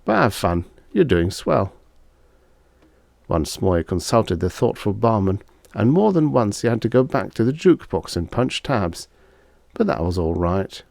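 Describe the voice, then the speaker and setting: A man narrates fiction in a deep voice. His scripted reading is expressive and swings freely in pitch, storytelling line by line. The sound is dry, with very little room in it. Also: moderate pace, vocal fry, dry recording. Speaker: audiobook narrator.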